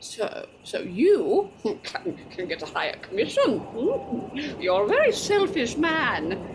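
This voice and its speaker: An actress playing a suspicious, sharp-tongued, fussy woman in old-time radio horror style, hesitant yet pointed. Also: fairly high pitch, huge pitch swings, takes it slowly, background noise, full character voice. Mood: accusing.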